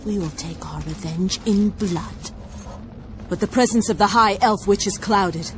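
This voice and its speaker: An actress playing a haughty, vengeful dark elf commander in a video game, imperious, menacing and theatrical. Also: female voice, medium pitch, measured pace, slight background noise, lightly acted. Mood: bitter, vengeful. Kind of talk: scripted video game cutscene acting.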